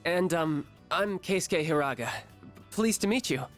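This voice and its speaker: An earnest, lively teen club leader. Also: male voice, a little high, measured pace, soft background music. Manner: earnest, hesitant, then fussy and caring. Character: earnest teenage male art club leader, fussy.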